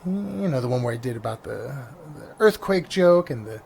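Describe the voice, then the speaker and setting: Rambling New York comedian with a janky mic. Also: male voice, medium pitch, fairly quick, creaky. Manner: animated, rambling with frequent restarts and fillers. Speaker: stand-up comedian and podcast host. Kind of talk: casual rambling vlog podcast. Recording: faint engine noise behind. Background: engine noise.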